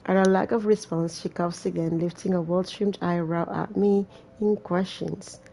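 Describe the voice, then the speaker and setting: A woman's voice: on the low side, steady tone, normal speed, creaky, warm tone, slight background noise, low-quality recording. A woman reading a visual novel aloud as a gamer, with casual, irreverent and sweary asides. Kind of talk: let's-play reading with casual commentary.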